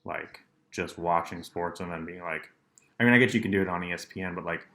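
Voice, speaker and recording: A young man with a deep, low-pitched voice talks alone on his podcast. He rambles from one aside to the next in a dry, deadpan way, filling the gaps with plenty of ums. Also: fairly quick. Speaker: solo podcast host. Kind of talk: rambling solo podcast chat.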